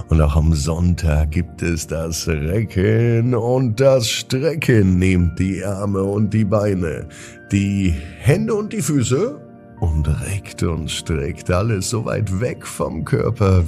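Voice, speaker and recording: A middle-aged man telling children a bedtime story as a podcast host, with expressive, drawn-out emphasis. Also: fairly low pitch, faint music behind.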